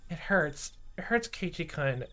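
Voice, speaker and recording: A man's voice: on the high side, expressive, a little slow, bright, forceful tone, faint music behind, pitched above the performer's own voice. A man voicing a clingy, menacing teenage girl in a sing-song pleading way, in a story reading. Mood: whimpering pleading.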